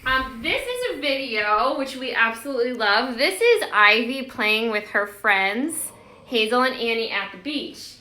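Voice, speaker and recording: A woman's voice: fairly high pitch, very animated, measured pace, bright, forceful tone, poor audio. A woman co-hosting a live story time, chatting casually to viewers. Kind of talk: casual chat to a live audience. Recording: clear background noise.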